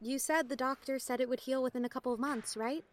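A woman's voice: high voice, fairly even intonation, fast talker, close to an everyday voice. A woman playing an indignant, outspoken teenage schoolgirl in an audio drama, talking casually and emphatically. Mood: reassuring. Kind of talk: naturalistic audio drama acting.

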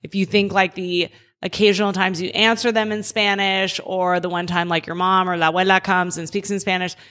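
A woman's voice: medium pitch, little pitch movement, fairly quick, a bit bright. Female podcast guest, mid-range voice, casual, frank, encouraging delivery. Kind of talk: casual chat.

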